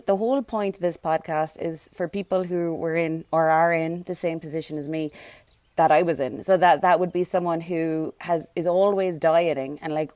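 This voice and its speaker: A hoarse woman hosting a casual solo podcast, chatting loosely with plenty of fillers and tangents. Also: lowish voice, a little mellow, dry recording. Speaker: podcast host.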